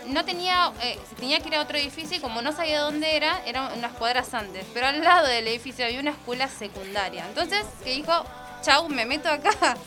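Young argentine radio cohost, woman, high n a bit shrill, chatty, music in the background. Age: young adult. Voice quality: slightly shrill. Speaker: radio co-host. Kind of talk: casual chat, relaying listener messages. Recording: music playing behind the voice.

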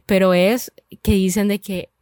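A woman's voice: medium pitch, little pitch movement, measured pace, a little mellow, no echo, studio quality. A woman with a Honduran accent making wry remarks in a casual group chat.

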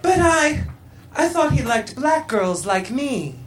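A woman's voice: high-pitched, sing-song, slow, a little hiss or hum, pitched above the performer's own voice, exaggerated voice. Woman playing a naive, plaintive schoolgirl, echoey. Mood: forlorn. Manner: plaintive, bewildered. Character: naive, lovelorn schoolgirl, easily bewildered.

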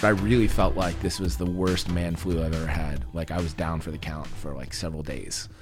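A man with a raspy voice, congested from a cold, telling stories and joking casually as a podcast guest. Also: fairly even intonation, a little fast, music in the background. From Texas. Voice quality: raspy, deep. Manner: joking, storytelling. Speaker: podcast guest, church leader.

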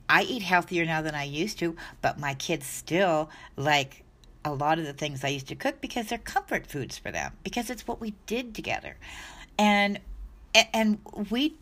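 A woman's voice: fairly low pitch, fairly bright tone. A woman in her sixties talking casually to camera about dog health, chatty and rambling, often restarting her sentences. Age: 60s. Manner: chatty, rambling, self-correcting.

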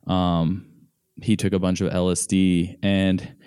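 An earnest American man talks casually as a podcast guest, wandering through his story with lots of "like." His voice sits on the lower side and sounds warm and mellow. The tone is very smooth and clean. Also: flat delivery, slow. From United States. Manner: earnest, meandering, heavy use of "like".

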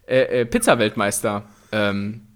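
A man's voice: lowish voice, steady tone, measured pace, clear, resonant tone. A man co-hosting a podcast in a laid-back, joking way, with plenty of fillers. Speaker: podcast co-host. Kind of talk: casual chat.

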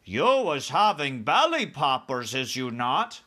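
A man voicing a big, friendly, simple giant in a storybook, earnest and excitable, with mangled grammar and muddled made-up words. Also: medium pitch, takes it slowly, pitched above the performer's own voice, heavily acted. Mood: eager. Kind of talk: storybook character voice.

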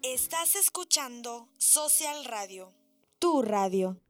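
A woman's voice: fairly high pitch, expressive, slow pace, music in the background. A woman announcing a scripted radio station ident.

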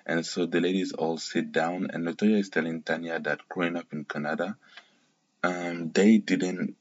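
A man from Cameroon talks through a reality show episode in a loose, opinionated ramble, backtracking and correcting himself as he goes. His voice sits extremely low with a husky edge, and the recording sounds dull and lo-fi. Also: fairly even intonation, moderate pace. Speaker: reality TV recap vlogger. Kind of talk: casual spoken recap.

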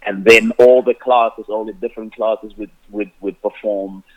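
A man's voice: fairly low pitch, steady tone, normal speed, phone-quality sound. A man, an Afrikaans speaker telling his story as a musician guest in a rambling way, full of restarts. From South Africa, Cape Flats. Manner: rambling, many restarts, laughs often. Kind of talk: casual interview answers.

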